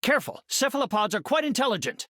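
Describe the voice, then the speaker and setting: An actor playing an earnest middle-aged scientist superhero in a video game, speaking in an articulate, cerebral, heroic way. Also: male voice, extremely high pitch, sing-song, fast, pushed and bright, no room sound, studio quality, heavily acted. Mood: assured, analytical. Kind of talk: video game hero voice lines.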